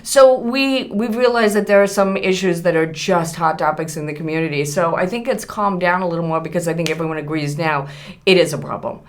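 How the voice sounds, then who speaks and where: A woman's voice: lowish voice, brisk. A middle-aged or older woman answering interview questions conversationally as a guest. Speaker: interview guest, newspaper publisher.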